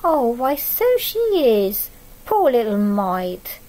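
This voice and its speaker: A woman's voice: a little high, sing-song, slow pace, dark tone, exaggerated voice. A woman voicing a kindly, motherly neighbour from a picture book, full of sympathy. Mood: tender sympathy.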